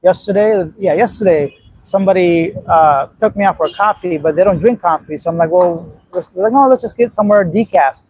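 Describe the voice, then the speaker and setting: Man, late thirties, from Afghanistan, livestream host, husky voice, blunt rambling chat, swears casually. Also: high-pitched, a little fast, darkish tone, poor audio. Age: 30s. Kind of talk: casual live-stream chat reading viewer comments.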